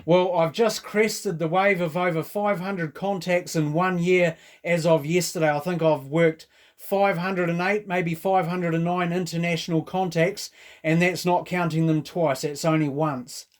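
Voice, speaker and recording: A New Zealand man in a casual radio chat. His voice sits a little high, and he talks in a chatty, informal way with plenty of operator jargon. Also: steady tone, a little slow.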